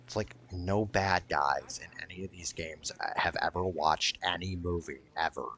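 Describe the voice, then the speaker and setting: A man's voice: low voice, normal speed, fairly bright tone, slight background noise. A man giving casual, rambling gameplay commentary in a blunt, sweary way. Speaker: gaming video creator.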